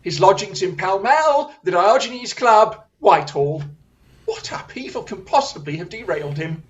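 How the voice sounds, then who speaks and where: A haughty, restless gentleman detective in a radio drama, played by a woman. The delivery is theatrical and disdainful, with sweeping, animated pitch and grand declamation. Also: normal speed, highs cut off, natural voice. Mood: amused, incisive. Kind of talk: radio-style audio drama acting.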